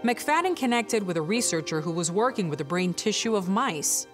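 A young adult woman, the host, giving polished, expressive scripted documentary narration. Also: mid-range voice, moderate pace, over music.

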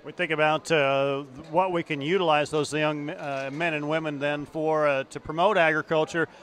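A man's voice: a little high, fairly even intonation, normal speed, fairly bright tone. Man interviewing with a handheld mic. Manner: conversational with fillers. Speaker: farm broadcaster interviewer. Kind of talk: field interview. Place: indoor exhibition hall.

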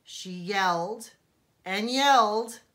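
A woman teacher reading a children's storybook aloud in a deliberate, expressive, emphatic way for young listeners. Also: medium pitch.

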